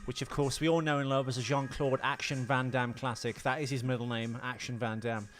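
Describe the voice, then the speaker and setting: A man's voice: mid-range voice, level tone, a little fast, a bit bright. A man co-hosting a casual movie podcast, bantering with dry, teasing remarks and some swearing. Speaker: podcast co-host.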